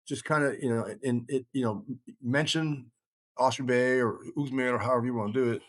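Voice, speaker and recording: Middle-aged male podcast host, average pitch. Blunt, folksy, sincere, loosely rambling conversation. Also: fairly even intonation, brisk. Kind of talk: casual rambling group discussion.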